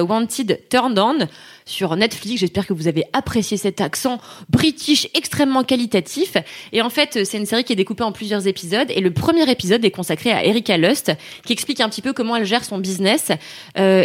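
A woman co-hosts a podcast in easygoing, chatty conversation. She sounds enthusiastic and laughs easily. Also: mid-range voice. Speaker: podcast co-host. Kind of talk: casual chat.

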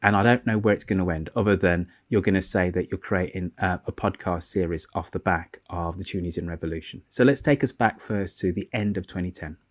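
A man's voice: low-pitched, fairly quick, hardly any pauses, no room sound, studio quality. A man hosting a podcast interview, chatty and self-deprecating, with rambling questions. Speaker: podcast host. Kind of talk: podcast interview over a remote call.

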